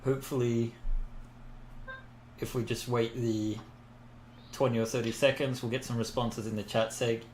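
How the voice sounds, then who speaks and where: A man's voice: medium pitch, level tone, normal speed, pauses often. Australian male player, easygoing, roomy echo. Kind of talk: casual chat. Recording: echoey room with faint noise.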